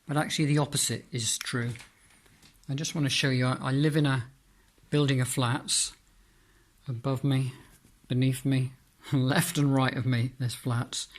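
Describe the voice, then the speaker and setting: A man presenting his homemade radio gear in an informal demonstration, speaking in hesitant, piecemeal phrases with frequent pauses, indoors in a flat. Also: mid-range voice. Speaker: amateur radio hobbyist presenting own homemade antenna. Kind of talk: informal product demonstration vlog.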